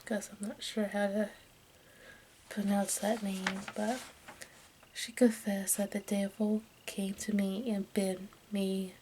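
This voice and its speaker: A woman reading aloud in ASMR style in a soft, breathy whisper and hushed speech, halting as she goes. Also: medium pitch, flat delivery. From United States. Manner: halting, stumbles over names and words. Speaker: ASMR video creator. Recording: faint background noise.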